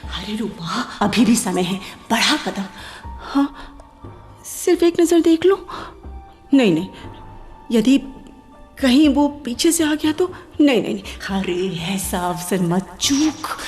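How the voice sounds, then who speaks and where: A proud young woman torn by secret longing. Her high voice swings widely as she urges and restrains herself in theatrical style. Faint music sits behind her. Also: sing-song, slow pace, long pauses, darkish tone. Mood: wavering, urgent. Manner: theatrical, swinging between urging and refusing herself. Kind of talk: Hindi radio play acting.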